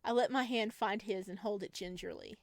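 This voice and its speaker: A woman reading a visual novel aloud as expressive first-person narration, with a playful, teasing manner. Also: medium pitch, brisk, no room sound.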